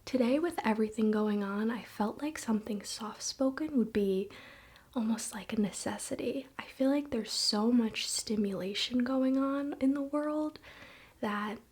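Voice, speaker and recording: A woman whispering softly in a soothing, relaxed and informal way, as an ASMR host. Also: fairly high pitch, a little slow, husky. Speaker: ASMR video host and spiritual practitioner. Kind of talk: soft-spoken whispered ASMR.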